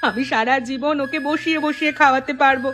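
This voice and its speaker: A woman's voice: high-pitched, fast, a bit bright, a little hiss or hum. A woman playing an anxious, morally firm village wife in a cartoon, speaking in an earnest, insistent way. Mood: anxious, pleading. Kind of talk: cartoon dialogue acting.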